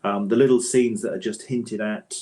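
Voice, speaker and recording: Very low-voiced man, thoughtful. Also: steady tone, normal speed, warm tone. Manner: thoughtful, hesitant, bookish phrasing. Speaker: interviewed guest, author and audio dramatist. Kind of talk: conversational interview answers.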